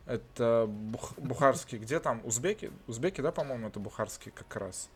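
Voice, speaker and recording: A man's voice: medium pitch, normal speed, faint music behind. Blunt, swearing Russian-born man. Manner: swears a lot, animated and blunt. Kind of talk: casual chat. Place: lives in Israel.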